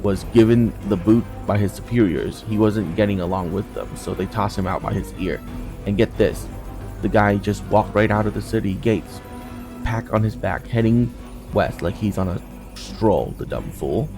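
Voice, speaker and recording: Man reading as a blunt, low-voiced soldier. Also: moderate pace, music in the background, lightly acted. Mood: blunt gossip. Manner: colloquial, blunt. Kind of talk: game dialogue read aloud.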